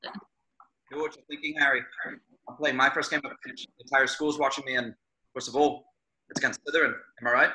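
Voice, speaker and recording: Teenage team captain, read by a man: quick, very bright and forceful, frank reassurance, mid-pitched. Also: steady tone, rough recording. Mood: brisk reassurance. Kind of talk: screenplay table read.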